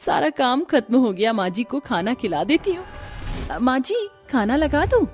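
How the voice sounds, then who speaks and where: Lady doing a young newlywed wife, really high pouty voice, cartoon family drama. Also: normal speed, faint music behind. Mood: polite, dutiful. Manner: high, expressive, pouting delivery. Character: young impatient new bride, coy, romantic, dutiful daughter-in-law.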